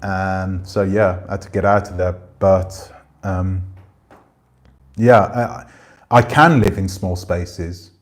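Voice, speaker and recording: A man in his forties chatting casually on a livestream, rambling and relaxed, swearing now and then. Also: low-pitched, takes it slowly. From Jamaican family roots. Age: 40s. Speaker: channel host. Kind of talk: casual livestream chat reading comments.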